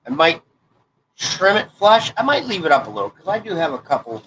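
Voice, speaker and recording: A man's voice: fairly high pitch, very animated, fairly quick, fairly bright tone, a little hiss or hum, poor audio. Congested folksy man in his forties. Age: 40s. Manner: folksy, rambling, self-correcting. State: congested, stuffed-up nose. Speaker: hobby crafting vlogger. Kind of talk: casual rambling chat while crafting.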